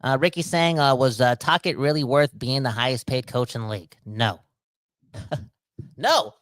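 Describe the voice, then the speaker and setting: Bright, rambling man in his thirties. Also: mid-range voice, normal speed, no room sound, clean studio sound. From Canada. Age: 30s. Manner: animated, rambling, slangy, talks straight to the audience. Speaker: podcast host reading live chat comments. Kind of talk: casual livestream rant.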